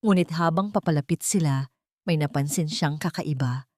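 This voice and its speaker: A woman with a low-pitched voice narrates a dramatic audiobook. Her delivery is measured and suspenseful, and the sound is dry and close, with no room around it. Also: darkish tone, no echo. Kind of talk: dramatic scripted story narration.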